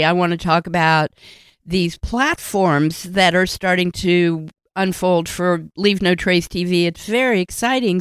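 A woman hosting a radio travel show, introducing her guest in a bright, welcoming announcer delivery. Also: mid-range voice, clear, resonant tone, no echo, studio quality.

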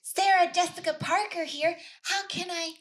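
A woman doing an impression of a gushing, desperate middle-aged actress in a comedy sketch, with drawn-out theatrical phrasing. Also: very high-pitched, steady tone, voice pushed up. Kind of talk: comedy sketch table read.

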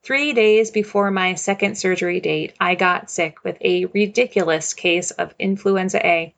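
A woman narrating a personal story in a measured, reflective way, returning again and again to a quiet refrain. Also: on the low side, vocal fry.

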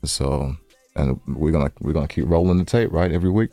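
A man's voice: very deep voice, a little fast, mellow, dry recording, faint music behind. An American man co-hosting a podcast in casual, unscripted banter, with a laid-back, conversational way of repeating himself. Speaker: podcast co-host.

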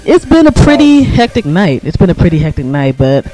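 An American man with a somewhat high voice talks as a radio co-host. His commentary is casual, colloquial and emphatic, full of slang. Faint music plays behind him. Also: sing-song, brisk, mellow, rough recording.

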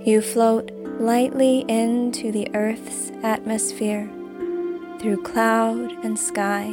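A woman guiding a meditation as scripted narration, calm and soothing, with deliberate phrasing. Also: mid-range voice. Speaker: meditation guide and podcast host. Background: music behind the voice.

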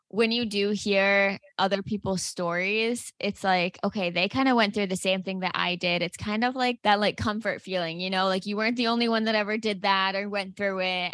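A woman's voice: mid-range voice, fairly bright tone, no echo. A woman hosting a podcast interview, talking casually with lots of fillers and laughter. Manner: friendly, says like and you know a lot, laughs. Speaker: podcast host.

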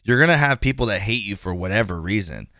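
A man's voice: lowish voice, lively intonation, quick pace, pushed and bright, no room sound, studio quality. A man in his twenties hosting a casual podcast conversation, speaking frankly and rambling with many fillers and restarts. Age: 20s. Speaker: podcast host.

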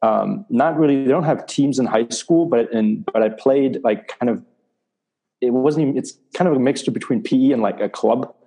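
A man who grew up in Germany and Spain, a coach answering interview questions in a measured way with frequent fillers. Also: mid-range voice, gravelly, warm tone. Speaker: athletics coach.

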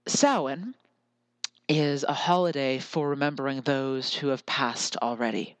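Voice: mid-range voice, unhurried, no echo. Earnest musing podcast host, very dry sound. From America. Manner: earnest, musing, drifts into lyrical repetition. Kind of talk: reflective solo podcast monologue.

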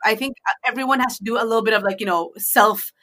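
Bright-voiced woman in conversational advice talk. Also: on the high side, fast. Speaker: host in a two-person discussion.

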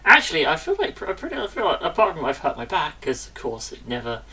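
Woman, podcast co-host, bright tone, a touch off-mic, chatty and self-correcting. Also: deep voice, quick pace, slightly rough, far from the mic. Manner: chatty, self-interrupting, lively. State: recovering from illness. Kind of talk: casual chat.

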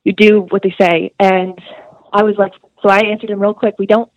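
A woman's voice: medium pitch, little pitch movement, normal speed, a little mellow, no room sound. A young woman, a student, earnestly recounting a detailed story in an interview, with casual fillers. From United States. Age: young adult. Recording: dull, muffled dry recording.

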